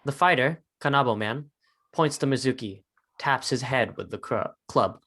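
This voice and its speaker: A man with a medium-pitched voice narrating stage directions in a dramatic script reading. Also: expressive, normal speed, fairly bright tone.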